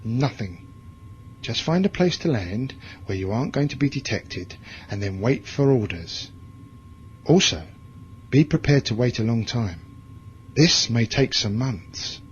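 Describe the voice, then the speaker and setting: An actor playing a hard, bitter military commander in a science fiction audio drama, with a curt, commanding way of talking. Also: male voice, medium pitch, big pitch swings, unhurried, a little hiss or hum. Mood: commanding, grim. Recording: dull lo-fi audio drama recording, music behind.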